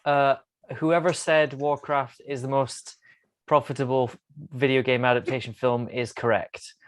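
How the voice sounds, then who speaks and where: Mid-pitched man, dry close recording. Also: level tone, measured pace, no echo. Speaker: podcast panelist. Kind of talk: casual chat.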